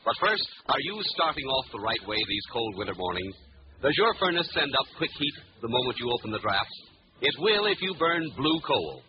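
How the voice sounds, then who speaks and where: A man's voice: medium pitch, sing-song, fairly quick, background noise. A man announcing in scripted 1940s radio style, formal and polished like a salesman. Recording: muffled old radio, music behind.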